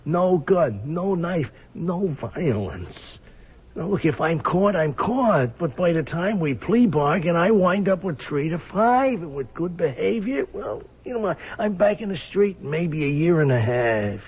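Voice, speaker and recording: A man's voice: fairly high pitch, expressive, measured pace, breathy edge, exaggerated voice. A man playing a desperate small-time safecracker in a radio drama, stammering and pleading in streetwise slang. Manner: stammering, repetitive, wheedling pleas. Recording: dull lo-fi radio drama recording.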